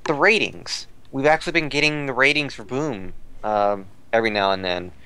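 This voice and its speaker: A man's voice: mid-range voice, moderate pace, pushed and bright, smooth, low-quality recording. A man talking casually as a podcast commentator about fan news, rambling and repeating phrases as he searches for words.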